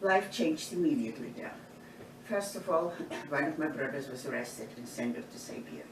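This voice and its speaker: A woman, from eastern Poland, giving oral history testimony in an unhurried storytelling way, often restarting her phrases. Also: deep voice, lively intonation. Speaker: Holocaust survivor interviewee. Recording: roomy, distant mic, background noise.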